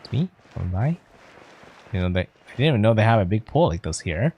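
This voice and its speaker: A man's voice: fairly low pitch, sing-song, fairly quick, darkish tone, husky. A man streaming a game with casual commentary, musing and thinking aloud. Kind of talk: casual let's play commentary. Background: game music.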